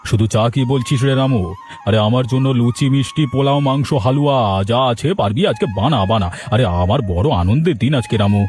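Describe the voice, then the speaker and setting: A man's voice: mid-range voice, brisk, darkish tone, faint music behind, close to an everyday voice. A man voicing a greedy, gloating village landlord in a cartoon, domineering and bossy in his talk. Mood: gleeful, greedy. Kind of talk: dubbed cartoon dialogue.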